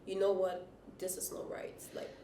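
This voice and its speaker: A woman's voice: lowish voice, completely flat delivery, normal speed, dark tone. A woman hosting a podcast interview, asking questions and affirming her guest often. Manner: supportive, frequent backchannel affirmations. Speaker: podcast host. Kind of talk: interviewing, listening. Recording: distant echoey room with faint noise.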